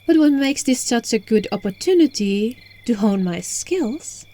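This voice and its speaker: A woman's voice: a little high, expressive, measured pace, warm tone. A woman voicing an alluring, playful witch in an ASMR roleplay, teasing and coaxing the listener, recorded on a phone. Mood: hopeful, grateful. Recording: phone recording with music and night crickets.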